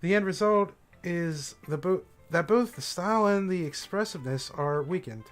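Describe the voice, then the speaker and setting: A man's voice: high-pitched, normal speed, soft background music, lightly acted. A man reading a shy, bookish teenage girl's lines aloud, hesitant and stammering at first, then earnest when explaining. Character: shy bookish teenage girl, soft-spoken. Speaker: streamer voicing a game character.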